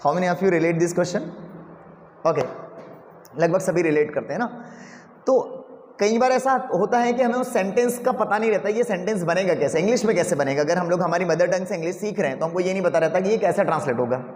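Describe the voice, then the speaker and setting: High-voiced man lecturing in echoey classroom. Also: a little fast, darkish tone, a little hiss or hum. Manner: asks the class rhetorical questions. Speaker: English teacher.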